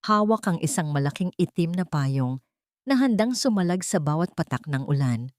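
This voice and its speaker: A woman's voice: low-pitched, animated, normal speed, a little mellow, dry recording, studio quality. A woman reading a scripted dramatic story in an earnest, sentimental way. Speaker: audio drama narrator.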